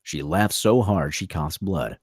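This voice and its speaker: A man's voice: sits low, a little slow, slightly rough, dry recording, studio quality. A man narrating a screenplay's stage directions at a table read, theatrical and punchy in short clipped phrases.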